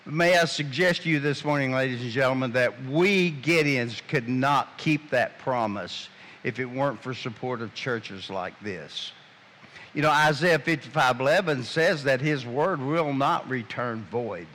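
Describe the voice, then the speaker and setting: A man giving a guest address in a church, earnest and measured in a preacherly way. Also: mid-range voice, a bit bright, slight background noise. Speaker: guest speaker. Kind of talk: sermon-like appeal. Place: church sanctuary.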